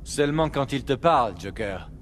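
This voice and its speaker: Guy playing chill sensible space officer, teases a bit, normal pitch, game cutscene. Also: animated, moderate pace, a little hiss or hum, lightly acted. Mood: teasing, reasonable. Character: calm, reasonable young military ship officer, gently teasing. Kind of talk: video game voice acting.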